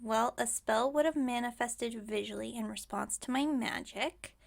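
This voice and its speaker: Woman acting high-voiced, scatterbrained, overconfident witch. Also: moderate pace, vocal fry. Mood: bossy, methodical. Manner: chatty, breezy, self-assured, musing aloud. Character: scatterbrained, overconfident witch shopkeeper, cheerfully reckless. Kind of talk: ASMR roleplay audio drama.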